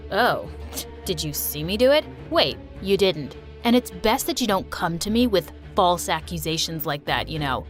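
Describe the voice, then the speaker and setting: A woman's voice: lowish voice, animated, moderate pace, faint music behind, pitched below the performer's own voice, natural voice. A woman voicing a smug, rich teenage boy bully with a sneering, mocking manner.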